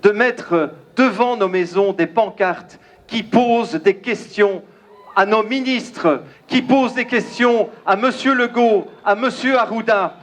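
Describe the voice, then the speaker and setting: A man from Belgium with a Belgian French accent, giving an emphatic, declamatory speech at an outdoor rally and pausing often. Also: very high voice. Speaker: rally speaker. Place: outdoor crowd gathering.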